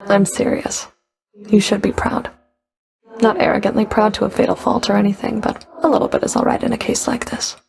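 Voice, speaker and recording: A stern Fury who enforces the rules of the underworld in a video game. Her mid-pitched voice carries a marked creak. She speaks in a cool, clipped manner with dry, understated sarcasm. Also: fairly even intonation, a little fast, darkish tone, full character voice. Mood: grudgingly sincere. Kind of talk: video game character voice acting.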